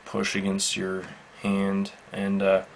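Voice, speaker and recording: A man with a gravelly, even-toned voice walks through a product casually and without a script. His delivery is slow, measured and halting, with a matter-of-fact manner. There is faint noise behind him. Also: low-pitched. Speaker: product reviewer. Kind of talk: casual unscripted product demonstration.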